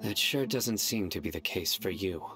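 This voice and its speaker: A man's voice: low-pitched, expressive, normal speed. A man voicing a stern, relentless young investigator in a game, curt and commanding. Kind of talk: game voice acting. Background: game music.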